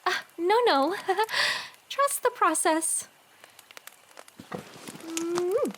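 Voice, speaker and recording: A woman playing a cheerful, caring teenage girl, chatty and gently chiding, in an anime-style audio drama. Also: very high-pitched, animated, extremely slow, slight background noise, exaggerated voice. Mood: playful, flustered. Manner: chatty, playful, gently scolding.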